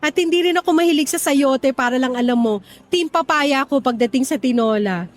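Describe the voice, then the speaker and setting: A woman's voice: sits high, lightly acted. A woman playing a shy, nervous first-dater. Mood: playful. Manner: nervous, self-deprecating, quick to laugh. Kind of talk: radio drama acting.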